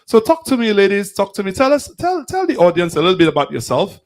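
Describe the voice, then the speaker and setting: A man casually hosting a live online talk show, speaking haltingly and often repeating words and phrases. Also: sits high, sing-song. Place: remote online video or audio call.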